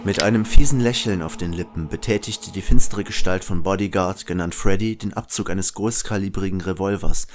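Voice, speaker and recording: A man's voice: low-pitched, brisk, hardly any pauses, slight background noise, close to an everyday voice. A man playing a sinister, insolent killer who both talks and narrates dramatically in an audio drama. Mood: darkly narrating. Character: sinister young male killer, smooth-talking, insolent narrator-protagonist. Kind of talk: audio drama dialogue and first-person narration.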